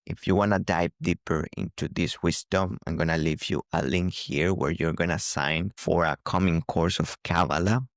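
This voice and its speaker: A man's voice: sits low, fairly even intonation, normal speed, few pauses, dry recording, studio quality. A man giving an earnest, instructive spiritual talk as a teacher, often repeating phrases for emphasis.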